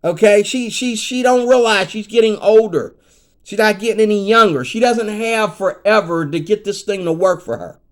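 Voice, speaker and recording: Man in his 50s, high-pitched voice, rambling vlog rant that turns emphatic, keeps asking "you know what I mean". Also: normal speed. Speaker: vlogger.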